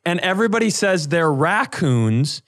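A man with a slightly high-pitched voice tells comic stories as a podcast co-host. He is animated and casual, swears freely and acts out the lines of the people in his anecdotes. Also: normal speed. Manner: animated, profane, acts out quoted dialogue. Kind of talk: casual comedic storytelling.

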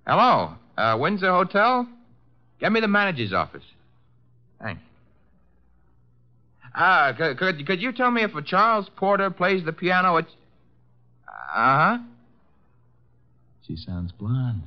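A flippant male detective's sidekick in an old radio mystery. His delivery is very animated, swinging up and down, with a casual sardonic edge, on a muffled vintage recording. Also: high voice, slow pace, pauses often, exaggerated voice. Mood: breezy, wry. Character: wisecracking, cheeky male private detective's assistant. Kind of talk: 1950s radio drama acting.

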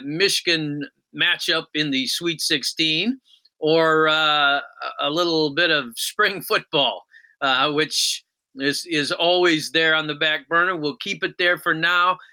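Very bright, higher-voiced male podcast host. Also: steady tone, slow, clean tone. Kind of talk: casual sports podcast hosting.

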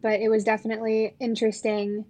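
A woman's voice: on the high side, completely flat delivery, quick pace, darkish tone, a little hiss or hum. A woman in her twenties from the Los Angeles area, chatting casually with a friend, trailing off with fillers. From Los Angeles area, USA. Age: 20s. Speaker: podcast guest. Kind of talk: casual chat.

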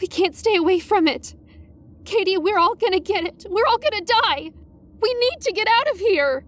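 A woman voicing an anxious, frightened teenage schoolgirl with a squeaky, urgent, pleading delivery in a dramatic story reading. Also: expressive, normal speed, fairly bright tone. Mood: rising panic. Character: panicked teenage schoolgirl narrator, anxious and insistent.

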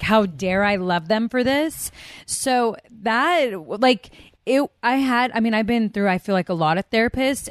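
A woman's voice: medium pitch, no room sound, studio quality. A woman podcast guest reflecting earnestly in casual chat.

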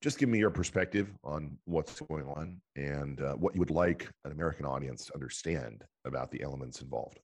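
A man's voice: rumbling low, fairly quick, hardly any pauses, husky. A male podcast host asking long, loose questions full of "uh" fillers. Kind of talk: conversational interview.

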